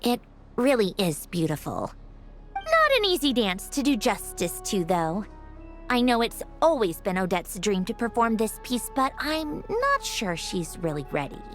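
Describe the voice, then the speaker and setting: A woman playing a composed, analytical young woman in a video game, frank and measured. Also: fairly high pitch, huge pitch swings, fairly bright tone, heavily acted. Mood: reflective, appreciative. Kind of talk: video game dialogue acting. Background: game music.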